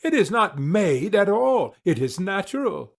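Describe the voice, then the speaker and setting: A man's voice: high-pitched, big pitch swings, measured pace, vocal fry, pitched above the performer's own voice, heavily acted. A man voicing a spoiled young storybook princess in a petulant, exclaiming manner. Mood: disappointed.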